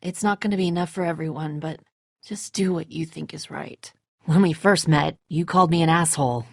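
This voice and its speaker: A woman's voice: deep voice, a little fast, creaky, dry recording, studio quality. An actress playing a tense, defensive woman who leads a group of survivors in a dramatic video game, blunt, confrontational and exasperated. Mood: weary, resentful.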